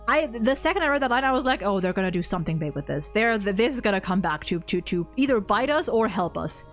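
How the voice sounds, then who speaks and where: A woman in a casual, excited fan discussion, effusive and slangy, rambling with lots of repeated "likes". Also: medium pitch, fast talker, faint music behind. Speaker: video host discussing a game.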